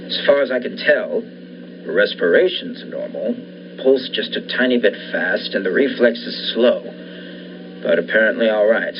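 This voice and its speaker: A man's voice: lowish voice, moderate pace, lightly acted. A man playing an obsessed, commanding scientist in a 1940s-style radio thriller, urgent and sure of himself. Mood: calm, subdued. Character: obsessed male scientist reviving his dead wife. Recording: muffled, noisy amateur radio play recording.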